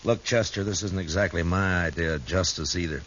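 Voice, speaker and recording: A stern, troubled lawman in a vintage radio western. The voice is deep, the delivery blunt and grave. The old recording is dull and noisy. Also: brisk, fairly bright tone. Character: stern, troubled, principled frontier marshal. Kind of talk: 1950s western radio drama acting.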